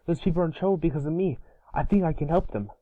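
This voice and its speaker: A man's voice: a little high, fast talker, no echo, phone-quality sound, lightly acted. A man playing an eager, brash boy hero in an amateur cartoon table read. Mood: earnest, excited.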